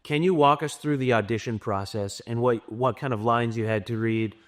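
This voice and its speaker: A man with a medium-pitched voice co-hosts an interview show. He puts his questions casually, in an informal chatty style. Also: normal speed. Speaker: co-host.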